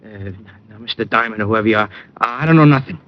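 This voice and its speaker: An actor playing a frightened, evasive working-class truck driver who pleads nervously, in old radio drama style. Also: male voice, on the low side, fast, lightly acted. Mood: frightened, pleading. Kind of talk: 1940s radio acting. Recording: muffled old radio recording.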